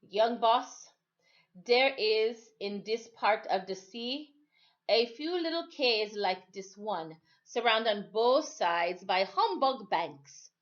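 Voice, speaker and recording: A woman voicing a calm, patient older island sailor man in a storybook reading, with drawn-out, folksy phrasing. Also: fairly high pitch, dull audio. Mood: hesitant. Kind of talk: storybook read-aloud.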